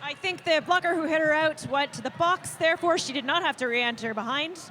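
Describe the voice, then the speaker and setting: A woman giving play-by-play roller derby commentary with quick calls and playful banter, with a faint arena hum behind her. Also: sits high, bright, forceful tone. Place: leisure centre arena.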